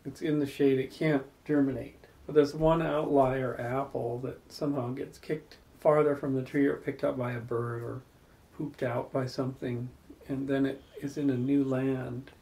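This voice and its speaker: A man's voice: mid-range voice, little pitch movement, moderate pace, dark tone, poor audio. A man hosting a casual salon gathering, talking thoughtfully in long rambling stories, recorded indoors in a living room. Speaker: salon host. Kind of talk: reflective storytelling in casual group talk.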